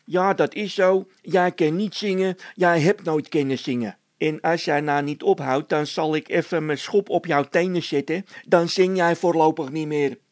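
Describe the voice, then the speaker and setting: A man's voice: a little high, fairly even intonation, normal speed, few pauses, dry recording, voice pushed up, lightly acted. A man voicing a peevish, spiteful road labourer who is easily irritated, in a storybook tale. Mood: threatening.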